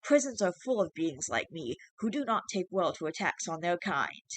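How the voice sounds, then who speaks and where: A man voicing a shrill, spiteful changeling creature posing as an infant. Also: big pitch swings, normal speed, a bit bright, no echo, heavily acted. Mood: taunting. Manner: mocking, later frantic and pleading.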